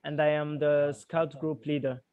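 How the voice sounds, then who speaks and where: A man's voice: a little high, flat delivery, a little slow, clear, resonant tone. A man in his twenties from Burundi, a scout group leader, talking on a video call with many restarts and fillers. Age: 20s. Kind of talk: casual chat. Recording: dull lo-fi call audio.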